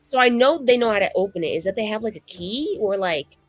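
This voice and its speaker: A woman playing a tabletop roleplaying game, chatting casually in slangy, excitable, improvised speech with some swearing. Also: mid-range voice, quick pace, no room sound, soft background music.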